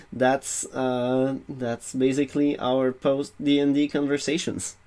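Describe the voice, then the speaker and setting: A man co-hosting a casual podcast who talks slowly and haltingly. He stumbles through restarts and uhs. His pitch is average for a man. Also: level tone, a little mellow. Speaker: podcast co-host. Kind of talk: casual chat.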